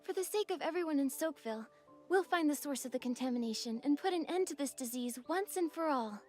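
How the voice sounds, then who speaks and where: An earnest, polite young village woman from a video game, speaking in a high, clear voice. She sounds steady and sincere as she asks for help, with soft game music behind her. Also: brisk. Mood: determined.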